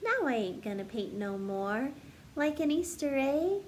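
A woman in her thirties reading aloud and talking to young children like a teacher, in an expressive, deliberate, sing-song manner. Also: sits high, a little hiss or hum. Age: 30s. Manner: slow, deliberate, animated teacher delivery addressing children. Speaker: first grade teacher hosting a children's story time. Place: living room at home, indoors.

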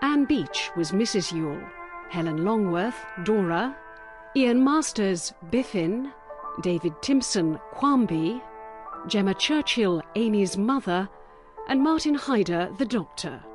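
A woman's voice: mid-range voice, expressive, slow. Woman announcer over prominent music. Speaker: continuity announcer. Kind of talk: scripted radio credits announcement.

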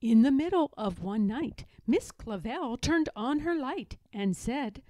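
A woman reading a children's picture book aloud in a deliberate, expressive storytelling manner. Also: a little high, dry recording. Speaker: storybook reader.

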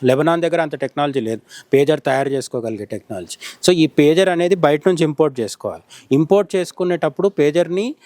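A man's voice: fairly high pitch, fast, darkish tone, no echo. A man giving informal news analysis in conversation, explaining and often rephrasing as he goes. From India. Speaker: journalist interviewed as commentator.

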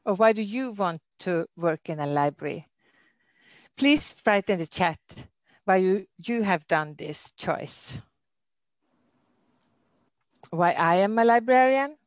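A middle-aged Norwegian woman, a library director, giving a webinar talk in careful, slightly halting English with a Norwegian accent. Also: medium pitch, expressive, no echo. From Norway. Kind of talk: webinar presentation. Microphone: computer mic on a video call.

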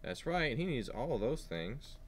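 Guy teacher doing a kids read-aloud, unhurried, really expressive up and down, asks the kids simple questions. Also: mid-range voice, slight background noise. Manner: animated storytelling with simple questions to children. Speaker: kindergarten teacher. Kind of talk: read-aloud lesson for young children.

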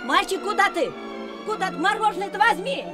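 An actress playing a talkative ice-cream seller in a radio drama. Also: female voice, extremely high pitch, expressive, fairly quick, loud music behind the voice. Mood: calling out.

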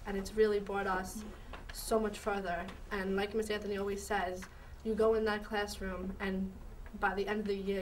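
A woman's voice: mid-range voice, recorded from a distance, slight background noise, low-quality recording. A teenage girl speaking casually in an interview, rambling with many fillers, in a school library. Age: teens. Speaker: student in program.

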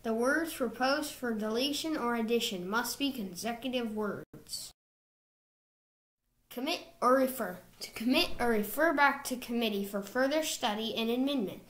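Woman narrator, scripted slide voice-over, even and measured, a touch high. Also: poor audio. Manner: even, measured reading of rules.

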